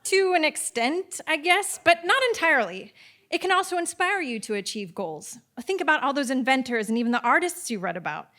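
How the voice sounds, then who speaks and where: A woman's voice: high-pitched, big pitch swings, brisk, a bit bright. A woman playing an earnest, persistent medieval mother who homeschools her son, coaxing and instructive, in a live stage audio play. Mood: exasperated, persistent.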